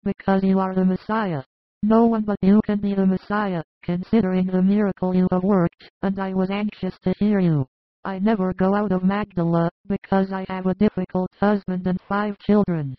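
A woman's voice: mid-range voice, level tone, moderate pace, no room sound, natural voice. A woman playing a humble, overworked village mother in a scripted audiobook drama, earnest and deferential. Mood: earnest, weary. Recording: dry, narrow phone-like sound.

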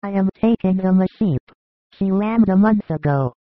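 A reader voicing a kind-hearted, gentle shepherd in a dramatized story reading. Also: mid-range voice, moderate pace, no echo, lightly acted. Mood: tender. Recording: tinny phone-like recording.

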